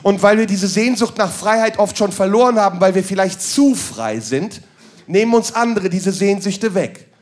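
A man's voice: high voice, animated, normal speed. A man doing a crude, sardonic stand-up monologue that moves into ranting. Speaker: stand-up comedian.

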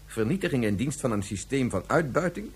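A man's voice: medium pitch, big pitch swings, fairly quick, slightly rough. An actor playing a naive, troubled, idealistic male scientist in a science fiction radio drama, speaking earnestly. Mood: scornful conviction.

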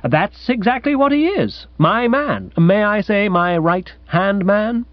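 A breezy young upper-class English gentleman, performed by a man in a comic audiobook. The voice is high and swoops about with chirpy indignation and old-fashioned slang. The sound is dry and slightly dull. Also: very animated, slow, no room sound, heavily acted. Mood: cheerful. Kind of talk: comic audiobook character acting.

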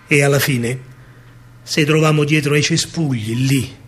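A man's voice: mid-range voice, steady tone, measured pace, heavy background noise. A man with a Roman Italian accent performs a theatrical verse monologue as a stage storyteller, declaiming emphatically in rhythmic phrases.

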